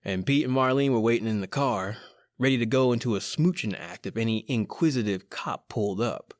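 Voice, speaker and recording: A man's voice: mid-range voice, fairly quick, dry recording, studio quality, lightly acted. A man with an American accent playing a cocky, slangy small-time crook in an old-time radio horror drama. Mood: wry, uneasy. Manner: slangy, colloquial, conversational. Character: cocky wisecracking small-time male crook telling his own eerie tale. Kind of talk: old-time radio horror acting, first-person narration and dialogue.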